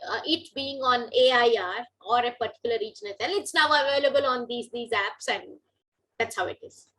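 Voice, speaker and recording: A woman's voice: on the high side, fairly quick, a bit bright, rough recording. A woman, hosting a conversational interview in an agreeable manner with frequent brief acknowledgements.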